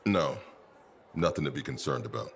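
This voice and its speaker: Stern old-guard commander for a game, super deep voice, curt and formal, faint ambience behind. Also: male voice, moderate pace, a little hiss or hum. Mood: grave, guarded. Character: stern, proud veteran commander of wall guards. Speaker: military commander. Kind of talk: scripted video game dialogue.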